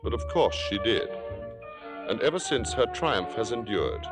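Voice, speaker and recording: An actor playing a suave, domineering, coolly cruel theater columnist in an old radio drama, sardonic and articulate. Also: male voice, fairly low pitch, sing-song, measured pace. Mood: wry narration. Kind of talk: 1940s-50s radio drama acting and narration. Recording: vintage radio recording, music behind.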